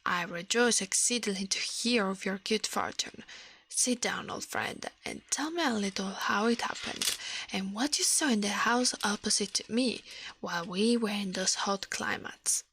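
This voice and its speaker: A woman voicing a kindly, wondering scholar from a storybook in a soft, breathy voice. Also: mid-range voice, normal speed, dry recording. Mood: warm, curious. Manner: earnest, gentle, wondering. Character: kindly, astonished learned man, older scholar.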